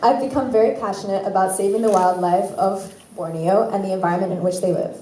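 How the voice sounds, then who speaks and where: A woman's voice: medium pitch, fairly even intonation, fast, noisy background. Earnest teenage girl in an echoing hall. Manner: earnest, occasional stumbles and self-corrections. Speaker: student presenter. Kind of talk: rehearsed conference talk. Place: conference hall. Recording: roomy hall echo.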